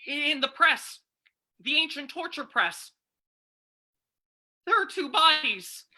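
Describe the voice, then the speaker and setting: A woman acts a timid, horror-struck young villager for an old-time radio drama. The voice is high and very sharp, with drawn-out, fearful delivery. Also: expressive, heavily acted. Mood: horrified. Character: frightened, obedient young male villager.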